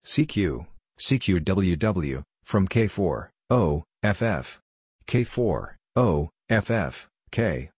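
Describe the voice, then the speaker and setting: Low-pitched man reading short phrases. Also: big pitch swings, breathy edge, no echo, studio quality. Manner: clipped, deliberate phrasing, spelling out letters and numbers. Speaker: Morse code practice narrator. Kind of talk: scripted read-out of short radio phrases.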